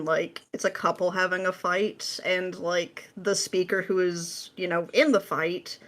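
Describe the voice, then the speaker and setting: A woman's voice: on the low side, a bit bright. A woman talking casually as an interview guest, telling things through little anecdotes in a joking, easily amused way. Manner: anecdotal, jokey, often laughing as talking. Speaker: interview guest, script writer. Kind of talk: casual chat.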